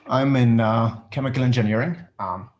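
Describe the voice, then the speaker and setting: A man's voice: mid-range voice, normal speed. A man from Switzerland, a seminar attendee introducing himself modestly on a video call.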